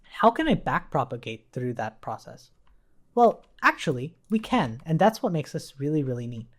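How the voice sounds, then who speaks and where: A man's voice: fairly high pitch, sing-song, moderate pace. A man giving an informal coding tutorial as an instructor, explaining step by step and checking in with rhetorical questions while he types. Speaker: programming instructor.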